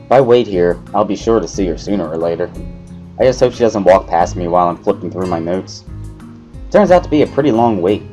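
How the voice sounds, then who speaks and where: A man narrating a first-person visual novel story as an expressive dramatic reading. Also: deep voice, a little fast, soft background music.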